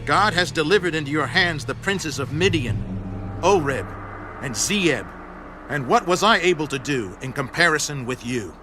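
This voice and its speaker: A man acting as a stern, vengeful war leader in a dramatized scripture reading, forceful and declamatory. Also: a little high, expressive, normal speed, lightly acted. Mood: placating. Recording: loud background music.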